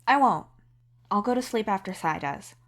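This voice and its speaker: A woman's voice: mid-range voice, lively intonation, normal speed, voice pushed up. A tired young man played by a woman in a narrated audio drama. He sounds sleep-deprived and slightly mumbly, stubborn about himself yet devoted and reassuring toward others.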